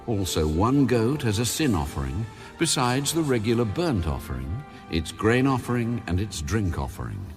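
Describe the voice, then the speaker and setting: A man narrating scripture as an audio Bible reader, in a measured, solemn, formal delivery. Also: fairly low pitch, very animated. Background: background music.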